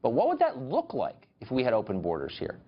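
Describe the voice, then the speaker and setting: A man hosting a news show, interviewing a guest with pointed, animated questions. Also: medium pitch, fairly quick, highs cut off. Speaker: cable news host.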